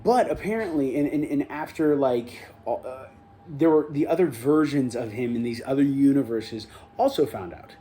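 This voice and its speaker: A man's voice: medium pitch, moderate pace, mellow, a little hiss or hum. A man hosting his own review show, recapping an episode in a casual, rambling way that often corrects itself mid-sentence. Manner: rambling, loose, self-correcting delivery.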